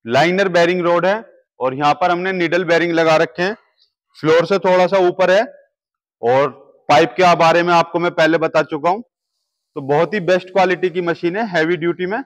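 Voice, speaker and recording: Guy in his 30s showing off gym gear, fairly high voice, lapel mic in a big warehouse. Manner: steady sales explanation, pointing at each machine. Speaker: gym equipment seller. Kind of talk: product walkthrough. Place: large indoor equipment warehouse.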